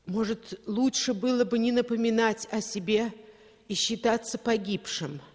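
An actor playing a homesick exiled son, reading a letter in a halting, plaintive way, echoing as in a 1960s radio play. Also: male voice, high voice. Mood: sorrowful, yearning. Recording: long echoing reverb.

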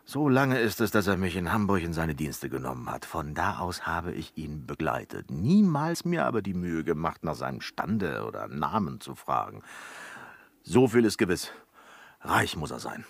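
An actor playing a cheeky, evasive manservant in a period comedy radio play, sly, playful and theatrical. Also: male voice, very deep voice, big pitch swings, moderate pace, creaky, no room sound, exaggerated voice. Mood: evasive, amused. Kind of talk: German radio play acting.

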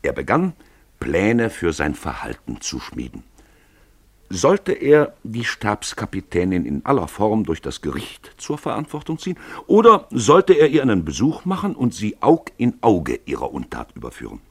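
Very deep, husky male narrator. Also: huge pitch swings, normal speed, dry recording. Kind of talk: scripted literary narration for a radio play.

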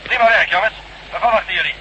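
High-voiced serious officer on radio. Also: male voice, fast talker, sharp tone, muffled recording. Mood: businesslike. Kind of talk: Dutch radio drama acting. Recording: noisy radio transmission in drama.

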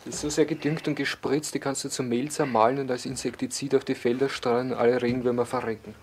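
A man's voice: mid-range voice, little pitch movement, quick pace, lightly acted. An actor playing a mocking, flippant man on a film crew, talking like a sarcastic announcer in a naturalistic radio drama. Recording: very noisy location-style recording.

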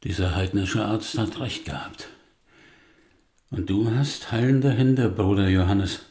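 Old monk mentor in a radio play, really slow and raspy, kindly, devout. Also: male voice, on the low side, darkish tone. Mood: gentle, humble. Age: older. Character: elderly monk healer, pious, kindly mentor. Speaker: actor. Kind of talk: radio drama acting.

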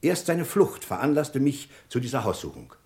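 An actor playing a dogged, skeptical police inspector in a German radio crime play, dry, probing and formally polite. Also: male voice, medium pitch, big pitch swings, moderate pace, darkish tone. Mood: grim, sardonic.

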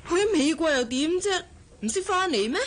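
An actress with a Hong Kong Cantonese accent playing a nosy, mocking office gossip in a radio drama. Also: female voice, sits high, animated, moderate pace, slight background noise. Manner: sarcastic, teasing.

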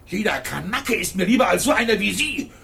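Bigoted radio-play caretaker: high, lively, gruff and contemptuous. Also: male voice, brisk, heavily acted. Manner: gruff, contemptuous, bursts into shouting. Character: hostile bigoted male building caretaker. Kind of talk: radio drama acting.

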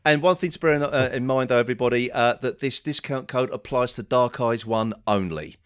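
A man's voice: medium pitch, brisk, smooth. Friendly man on a podcast. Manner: friendly, laughs easily, a little hesitant. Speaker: podcast co-host. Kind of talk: casual podcast chat.

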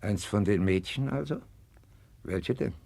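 An actor playing a flippant, lecherous court official in a 1960s German radio play, casual and offhand. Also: male voice, on the low side, animated, unhurried, vocal fry, mellow, no echo, exaggerated voice. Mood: flippant, leering.

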